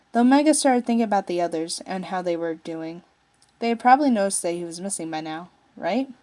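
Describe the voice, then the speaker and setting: A woman's voice: fairly low pitch, a little mellow. A woman narrating a piece of fiction, reading it aloud from a written text. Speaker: story narrator, fan fiction writer.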